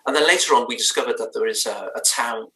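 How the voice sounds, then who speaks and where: Danish-accented man, bright and roomy. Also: medium pitch, quick pace, distant mic. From Denmark. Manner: thoughtful, hesitant, many fillers and restarts. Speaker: band's lead singer. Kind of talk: remote interview chat.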